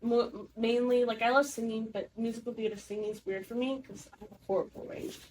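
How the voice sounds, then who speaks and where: Brief: talkative teen girl, slightly high voice, recorded from a distance with faint noise. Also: steady tone, brisk, a little mellow. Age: teens. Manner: chatty, lots of fillers and restarts. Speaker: young theater student. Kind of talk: casual chat.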